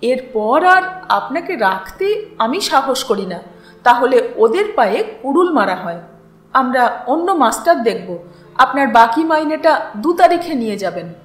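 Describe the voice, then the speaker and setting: Woman voicing a stern scolding uncle, high-pitched. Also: sing-song, unhurried. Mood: angry, scornful. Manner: sharp, accusing, emphatic. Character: stern, scolding middle-aged uncle berating a tutor. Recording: roomy echo with background music.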